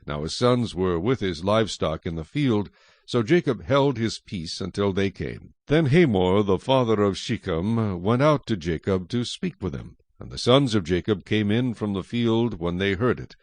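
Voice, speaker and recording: A man narrating scripted scripture in a solemn, measured storytelling manner. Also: fairly low pitch, animated, darkish tone, no room sound.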